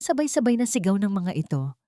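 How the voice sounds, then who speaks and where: A woman narrating a romantic audio drama in a dramatic, expressive storytelling manner. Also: medium pitch, a little fast, dry recording, clean studio sound.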